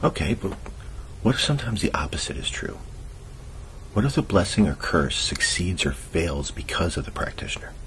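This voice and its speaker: A man's voice: sing-song, moderate pace, fairly bright tone. A man acting as a dry, earnest conspiracy-minded cousin in an ASMR audio drama, speaking in a sardonic, colloquial, explanatory way. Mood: earnest, musing. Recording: noisy low-quality amateur recording.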